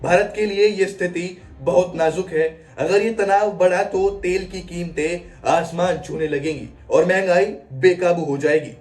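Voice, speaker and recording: A man's voice: high voice, fairly even intonation, unhurried, hardly any pauses, a little mellow, distant mic. A man delivering a scripted news explainer in a dramatic manner, posing rhetorical questions. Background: background music.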